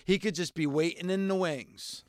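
A man hosting a talk radio show, thinking out loud and restarting his sentences as he argues his point. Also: on the high side, normal speed, dry recording, clean studio sound. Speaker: radio host.

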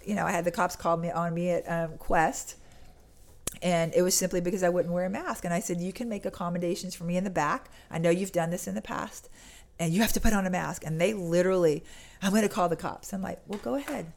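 A woman's voice: lowish voice, steady tone, fairly quick, creaky, darkish tone. An American woman speaking as an activist guest in a conversational interview, earnest and insistent, acting out little exchanges as she goes. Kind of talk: conversational interview talk with acted-out anecdotes.

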